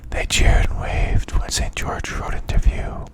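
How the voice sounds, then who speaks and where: A man whispers a bedtime story in ASMR style. His voice is breathy and unvoiced, and he takes it slowly, one hushed phrase at a time. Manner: slow, hushed, phrase-by-phrase delivery.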